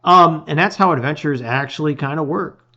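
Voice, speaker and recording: A man reading a gamebook aloud in expressive, emphatic storytelling, with casual asides. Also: on the high side, normal speed, dull audio, background noise.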